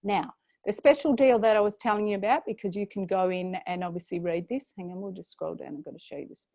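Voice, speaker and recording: A woman with an Australian accent presenting a paid program in a chatty, filler-heavy way, her voice going slightly hoarse. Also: mid-range voice, fast, mellow, no echo. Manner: chatty, many fillers and restarts. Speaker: program host presenting an offer. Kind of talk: webinar sales pitch.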